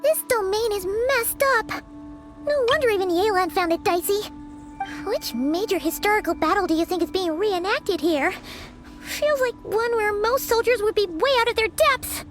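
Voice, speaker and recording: A woman voicing a tiny, chatty, childlike fairy companion in a video game, squeaky, cutesy and dramatic. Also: animated, moderate pace, soft background music. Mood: uneasy.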